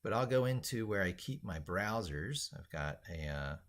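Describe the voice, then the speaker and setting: Low-voiced man casually narrating a live demo. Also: lively intonation, moderate pace, a bit bright. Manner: rambling, thinking aloud while demonstrating. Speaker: live stream host. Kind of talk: casual live screen-share commentary. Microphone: speaking into a microphone.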